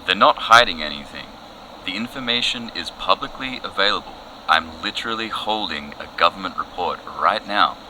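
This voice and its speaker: An actor playing a weary, matter-of-fact TV field reporter in a satirical audio comedy, factual and deadpan. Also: male voice, sits low, moderate pace, full character voice. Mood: deadpan, insistent. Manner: flat-worded, factual, deadpan. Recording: noisy outside-report ambience behind.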